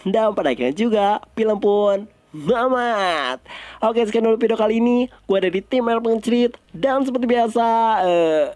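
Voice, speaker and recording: A man's voice: high voice, lively intonation, moderate pace, darkish tone, dry recording. A man with an Indonesian accent narrates a film recap in a casual style.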